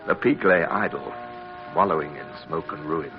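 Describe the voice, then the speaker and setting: A man's voice: extremely low pitch, moderate pace. An actor playing an earnest young British midshipman in an old radio drama, speaking in a dramatic, clipped way. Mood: grave recollection. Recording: dull vintage radio recording, loud music.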